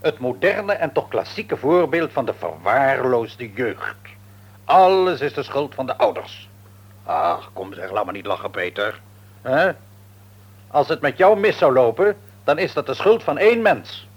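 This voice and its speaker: A man's voice: medium pitch, sing-song, measured pace, breathy edge. An actor playing a shrewd, patronising middle-aged father and businessman in a Dutch radio play, wordy and probing, with a Netherlands accent. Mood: scornful. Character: shrewd, patronising businessman father, outwardly jovial, secretly domineering. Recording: radio drama recording.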